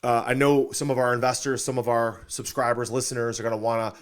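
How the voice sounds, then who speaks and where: A man's voice: mid-range voice, little pitch movement, fast. A man hosting a podcast in an upbeat interview style, enthusiastic and affirming as he talks. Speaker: podcast host.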